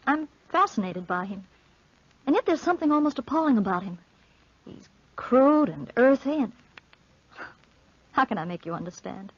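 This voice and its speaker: A woman's voice: fairly high pitch, sing-song, normal speed, long pauses, breathy edge, dry recording. An actress playing a tormented, tender young woman in love, earnest and melodramatic in 1940s radio style. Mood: anguished, tender. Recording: muffled 1940s radio broadcast recording.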